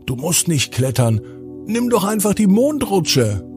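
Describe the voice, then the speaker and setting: A man acts out the friendly man in the moon from a bedtime storybook. He is welcoming and calm, speaking in a raised, very lilting voice over soft music. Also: high-pitched, unhurried, pitched above the performer's own voice, full character voice. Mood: helpful. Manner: expressive storybook delivery.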